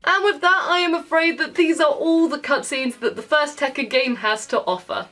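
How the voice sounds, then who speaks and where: A British woman giving chatty, comedic video game commentary in an expressive, playfully exasperated and mildly sweary way. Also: high voice, moderate pace. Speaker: video game reviewer and channel host. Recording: music behind the voice. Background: background music.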